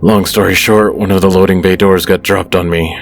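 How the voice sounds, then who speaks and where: An actor playing a weary young man gamer in chronic pain, who sighs often and confesses quietly. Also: deep voice, fairly even intonation, brisk, soft background music. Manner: quiet, sighing, self-critical confession.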